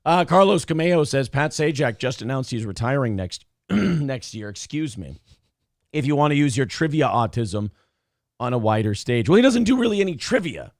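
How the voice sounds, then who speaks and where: A man hosting a radio show, reading out chat messages in a hoarse, raspy voice, rambling with restarts and frequent swearing. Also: medium pitch, very animated, normal speed, no echo, studio quality. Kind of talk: casual chat.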